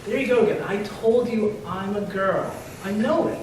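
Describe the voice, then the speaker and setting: A woman's voice: medium pitch, normal speed, darkish tone, natural voice. Woman doing a cocky know-it-all baby girl in a stage skit, super echoey, noisy recording. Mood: smug. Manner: blustering, know-it-all, wisecracking. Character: cocky, stubborn newborn baby, sure it's a girl. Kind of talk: readers theater comedy dialogue on stage. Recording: echoey hall, far mic, clear noise.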